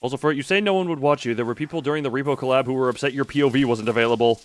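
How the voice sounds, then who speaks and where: A man chatting casually on a gaming livestream, rambling and expressive with mildly crude exclamations. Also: mid-range voice, very fast, no echo. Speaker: live streamer.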